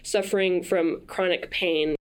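A woman's voice: on the low side, fairly even intonation, unhurried, clean tone. A woman from France, a podcast guest, talking through her background in a thoughtful way, with many restarts. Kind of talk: casual conversational interview.

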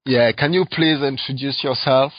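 A man's voice: mid-range voice, fairly even intonation, normal speed, dry recording. A man from Cameroon hosting an interview, speaking hesitantly with many restarts and fillers. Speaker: podcast host. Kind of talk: casual interview. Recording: muffled lo-fi, dry.

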